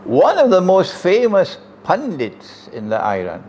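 An older man with a Trinidadian accent lectures emphatically into a close stand mic, his voice pitched a little higher than most men's. He throws out rhetorical questions to the audience. A faint hiss of room noise sits under him. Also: huge pitch swings, measured pace, a little mellow. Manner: emphatic, rhetorical questions, sweeping hand gestures. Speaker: Islamic lecturer. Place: room with green chairs, indoors. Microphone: stand mic close in front.

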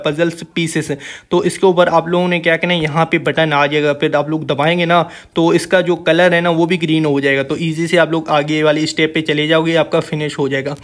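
A man's voice: a little high, a bit bright. A man with a Pakistani accent giving a casual, informal voice-over walkthrough as a gaming channel host, chatting to viewers like brothers.

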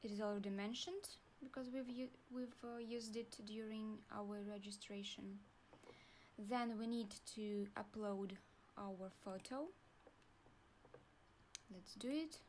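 A young woman with a Russian accent, calmly walking viewers through an online form in a tutorial. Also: medium pitch, slight background noise. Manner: slow, deliberate, pauses while clicking. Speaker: university staff guide. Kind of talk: screen-recorded tutorial walkthrough.

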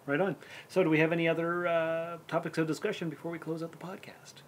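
A man in his forties co-hosting a casual podcast chat with friends, laughing often and trailing off quietly. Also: on the high side, little pitch movement, quick pace, a little hiss or hum. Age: 40s. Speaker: podcast co-host.

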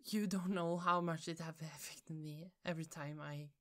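A woman playing a hurt, fed-up office coworker in an ASMR roleplay, halting and pleading, with pauses and sighs. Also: deep voice, steady tone, fairly bright tone. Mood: upset, pleading. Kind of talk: ASMR roleplay, slow intimate monologue.

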